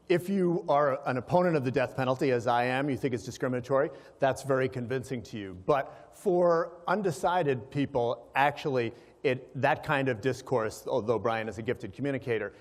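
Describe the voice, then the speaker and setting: A man's voice: medium pitch, moderate pace. A man giving an explanatory presentation to a live audience, checking in with listeners, with hesitations as he goes. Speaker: conference speaker, organization president. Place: large hall, live event. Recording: reverberant hall sound.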